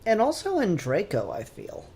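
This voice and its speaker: A podcast co-host talking casually about pop culture, informally and with swearing, putting animated emphasis on points. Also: medium pitch, normal speed, husky.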